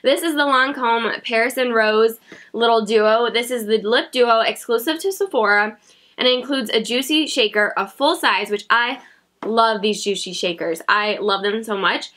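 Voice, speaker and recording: A woman in her thirties talks to camera from her home beauty room, chatty and giggly, making fun of herself. Her voice is a bit high and bright, with a slight nasal, stuffy sound from a cold. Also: normal speed. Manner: chatty, giggly, self-mocking. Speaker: beauty vlogger. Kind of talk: casual haul chat to camera.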